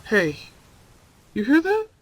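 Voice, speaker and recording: A man's voice: very high-pitched, wildly swooping pitch, slow pace, exaggerated voice. A man playing a handsome, protective, flirtatious vampire in an intimate ASMR roleplay, murmuring soothingly and often near a whisper. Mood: caring, reassuring. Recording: faint storm noise behind. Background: rain and thunder.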